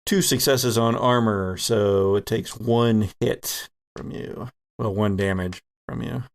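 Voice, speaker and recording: A man narrating an improvised tabletop game as game master, building descriptions in halting, pieced-together phrases. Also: on the low side, steady tone.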